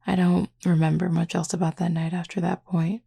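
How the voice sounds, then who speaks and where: A flirty werewolf woman, played by a voice actress in an intimate audio roleplay. Her low voice is thick with gravelly fry and husky at the edges. She talks in an unhurried, nonchalant way, keeping her intonation very even. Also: full character voice. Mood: calm, reflective. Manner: casual, unhurried, teasing, nonchalant. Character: flirty laid-back female werewolf, tattooed, scarred, protective.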